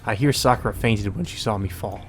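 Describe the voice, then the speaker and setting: Low-voiced man reading a visual novel aloud. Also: fairly quick. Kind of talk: reading narration aloud with casual commentary.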